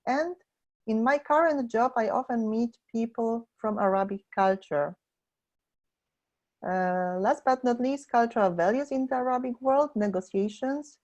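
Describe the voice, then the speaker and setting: A woman's voice: fairly high pitch, a little slow, darkish tone. A woman hosting a webinar, speaking to the online participants as moderator. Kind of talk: introducing the speaker and reading out chat comments. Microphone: webinar call. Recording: dull lo-fi online call audio.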